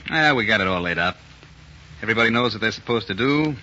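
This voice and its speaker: An American actor playing a tough, terse, slangy young hijacker in a 1940s radio crime drama. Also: male voice, on the low side, expressive, fast, bright, forceful tone. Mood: businesslike. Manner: clipped, slangy. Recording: dull, noisy 1940s radio recording.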